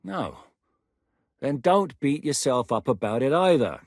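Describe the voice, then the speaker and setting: A gruff middle-aged war commander, blunt and commanding, mid-pitched, dry close studio sound, video game dialogue. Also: male voice, very animated, a little slow, darkish tone, dry recording. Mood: stern, blunt. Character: stern battle-hardened male military commander, middle-aged. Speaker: game character. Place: recording studio. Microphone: close studio mic.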